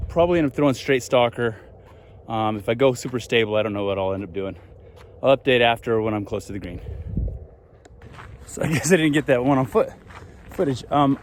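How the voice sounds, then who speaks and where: A man vlogging outdoors on a desert course, thinking aloud in a relaxed way as he walks between shots. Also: medium pitch, slight background noise. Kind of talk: casual walk-and-talk commentary. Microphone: handheld phone and gimbal camera.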